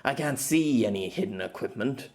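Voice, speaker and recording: A man's voice: medium pitch, animated, normal speed, a little mellow, lightly acted. A man voicing a haughty, irritable author in his fifties, pompous and curt, in an audiobook. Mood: irritated, suspicious. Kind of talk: audiobook character voice.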